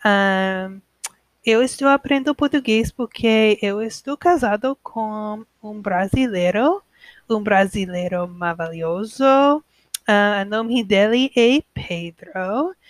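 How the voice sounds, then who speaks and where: Slow hesitant young American woman. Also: mid-range voice, dry recording. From United States. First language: English. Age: 20s. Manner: hesitant learner, frequent pauses and self-corrections. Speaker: podcast host. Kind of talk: casual podcast monologue.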